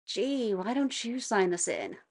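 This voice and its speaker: A woman's voice: mid-range voice, huge pitch swings, a little slow. A woman co-hosting a game playthrough, chatting casually in brief, offhand interjections. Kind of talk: casual chat. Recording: faint music in the background.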